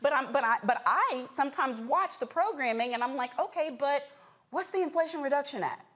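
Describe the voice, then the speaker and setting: A woman's voice: sits high, animated, a little fast, fairly bright tone. An American woman hosting a political panel discussion, speaking in an insistent, pointed way. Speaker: news commentary show host.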